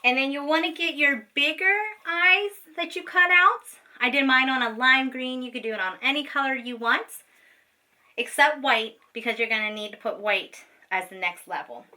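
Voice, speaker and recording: A woman's voice: high voice, moderate pace, bright, forceful tone. A woman teacher giving step-by-step craft instructions to children in an informal, patient way, often checking in as she goes. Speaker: special education teacher. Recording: distant microphone.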